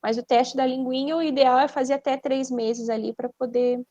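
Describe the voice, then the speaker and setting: A woman's voice: sits high, steady tone, normal speed, warm tone. A woman with a Brazilian Portuguese accent, a speech therapist explaining conversationally as a podcast guest, didactic, posing questions and then answering them.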